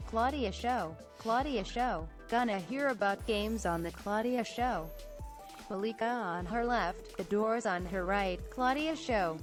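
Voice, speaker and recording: A woman's voice: medium pitch, lively intonation, unhurried, over music. A synthetic text-to-speech woman's voice reading out chat alerts in a robotic tone.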